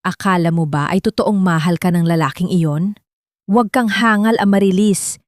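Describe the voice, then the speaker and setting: A woman voicing a scheming, sharp-tongued aunt, a villainess in an audio drama. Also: fairly low pitch, normal speed, no room sound, clean studio sound, lightly acted. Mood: cruel mocking. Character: cruel scheming aunt, mocking and suspicious villainess.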